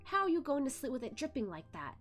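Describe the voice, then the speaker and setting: A woman's voice: sits high, very animated, quick pace, soft background music, voice pushed up. A woman voicing a stern, aloof young male immortal master who speaks in cold, clipped, scolding lines.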